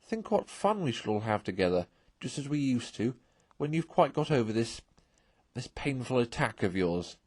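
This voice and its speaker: A storybook water rat, doubtful yet kindly, acted by a man. He chides his friends gently and dryly, in a close recording with little echo. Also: mid-range voice, big pitch swings, moderate pace, breathy edge. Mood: kindly, chiding. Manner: dry, doubtful, gently chiding.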